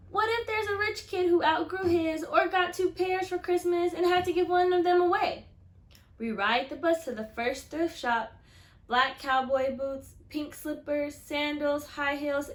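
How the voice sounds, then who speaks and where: A woman teacher reading a picture book aloud to children expressively, with deliberate, instructive asides and questions. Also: high voice, a bit bright. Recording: echoey room.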